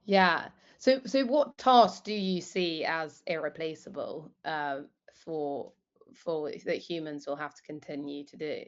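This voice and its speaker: Female news host, lower register, halting delivery, slightly dull audio. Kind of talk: informal live news presenting and interviewing.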